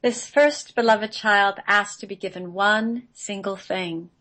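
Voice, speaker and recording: A woman reading radio play narration in a measured, solemn manner. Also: mid-range voice, fairly bright tone. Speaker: guest, playwright reading own play.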